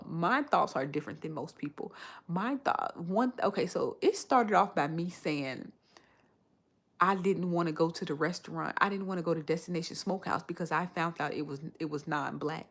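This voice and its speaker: A woman's voice: lowish voice, gravelly. An American woman in her thirties chatting casually on a livestream, slangy and emphatic, repeating phrases to make her point. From Chicago, United States. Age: 30s. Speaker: live streamer. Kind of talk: casual livestream chat, animated storytelling.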